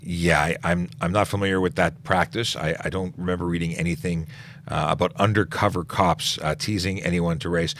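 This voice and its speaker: A man's voice: deep voice, fairly even intonation, brisk, fairly bright tone, slight background noise. A middle-aged man, a police officer, answering viewer questions on a casual livestream, stopping to restart his sentences and filling gaps with "uh" as he goes.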